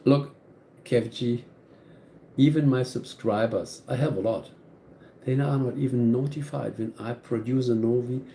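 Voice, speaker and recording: A man with a German accent speaks slowly and earnestly to his live audience, looping back over his own phrases. His voice sits mid-range, with a soft, mellow tone. A faint hum of background noise lies under it. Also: mid-range voice, gravelly. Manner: earnest, repetitive, restarts phrases. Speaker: channel host. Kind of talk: live stream talk to viewers.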